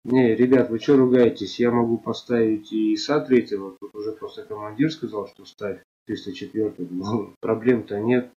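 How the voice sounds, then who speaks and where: A man, a game player, calmly explaining himself and then taunting and insulting another player in voice chat. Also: on the low side, moderate pace, hardly any pauses, husky, muffled recording. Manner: calm explaining, then mocking and abusive. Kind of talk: voice chat argument.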